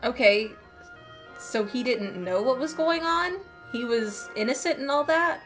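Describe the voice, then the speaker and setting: A chatty woman streamer commenting casually on a game. Her voice sits on the high side and she sounds playful, with faint game music underneath. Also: lively intonation, measured pace, fairly bright tone. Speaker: gaming streamer.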